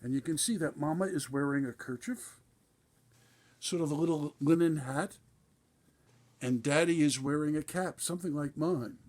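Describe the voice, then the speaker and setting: Kindly Santa Claus played by a man, husky and slightly high-voiced, gently reading a storybook to children with folksy asides. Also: moderate pace, long pauses, lightly acted. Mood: gently explaining. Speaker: guest reader at a benefit concert.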